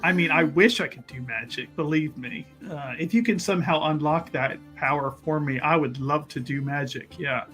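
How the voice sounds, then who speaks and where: A man improvising a smooth-talking, scheming adventurer in a tabletop roleplaying game, halting and wheedling as he offers condescending reassurance. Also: a little high, a bit bright, faint music behind, lightly acted. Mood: eager, boastful. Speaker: tabletop role-playing player. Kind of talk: improvised in-character actual play.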